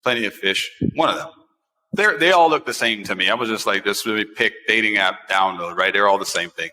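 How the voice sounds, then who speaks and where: An American man in his forties hosting a talk show, chatting casually and telling personal stories in an animated way, repeating phrases for emphasis. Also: on the low side, fairly quick. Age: 40s. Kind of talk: casual chat, personal storytelling. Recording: echoey, long room reverb.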